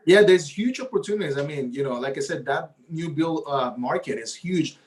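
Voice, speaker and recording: A man's voice: on the high side, animated, moderate pace. A man whose first language is Spanish, a realtor talking eagerly in a casual interview and often tagging his points with "right?". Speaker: realtor guest.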